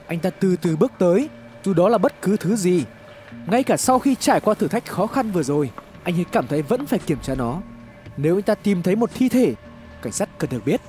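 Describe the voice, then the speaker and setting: A man's voice: high voice, darkish tone. A man reads scripted horror story narration in a dramatic, suspenseful storytelling manner. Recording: music behind the voice. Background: background music.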